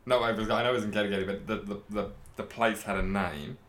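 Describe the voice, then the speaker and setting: A man's voice: fairly low pitch, steady tone, fast talker, fairly bright tone. A man hosting a casual podcast, joking, rambling and laughing a lot. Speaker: podcast host. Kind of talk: casual chat. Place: home at night.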